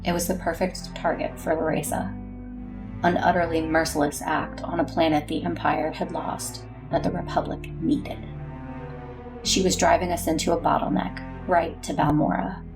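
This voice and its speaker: A woman's voice: low voice, flat delivery, normal speed, vocal fry, over music. An actress playing a weary, bitter, brooding war leader who narrates her own story in a sci-fi audio drama. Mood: grave, bitter.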